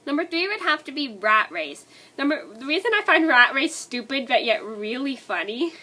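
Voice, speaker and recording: A woman's voice: high voice, very animated, normal speed, bright, forceful tone. A woman vlogger with a cold, stuffy nose and scratchy throat, chatting casually and rambling through a movie list. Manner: rambling, many likes and restarts.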